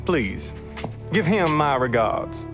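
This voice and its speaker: Obliging bootlegger in a crime game: high voice, very lively intonation, eager to please, music behind. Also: male voice, slow. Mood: friendly. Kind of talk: cinematic game acting.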